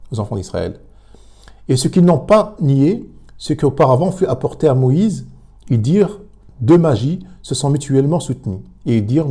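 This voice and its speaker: A man commenting on a religious debate, emphatic but halting, with many repeated words and fillers. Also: medium pitch, mellow, slightly rough. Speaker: commentator reacting to a clip.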